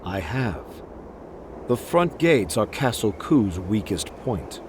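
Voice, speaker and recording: A cunning game strategist with a low, very animated voice. He is formal and theatrical, loyal to his lord, and talks deliberately over music. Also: background noise. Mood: calculating, assured. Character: shrewd, theatrical military strategist, loyal retainer to a prince. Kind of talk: video game voice acting. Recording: music behind the voice.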